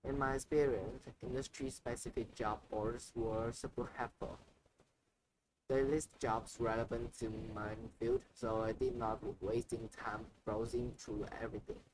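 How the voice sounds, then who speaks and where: Man voicing earnest male healthcare guest, measured, middle pitch, noisy ambience. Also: flat delivery, natural voice.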